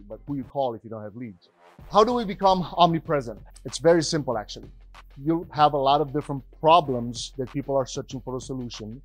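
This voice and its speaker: Energetic man on stage. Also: medium pitch, husky. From Romania. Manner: energetic, conversational, rising emphasis. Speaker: podcast host and business speaker. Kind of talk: stage presentation to a live audience.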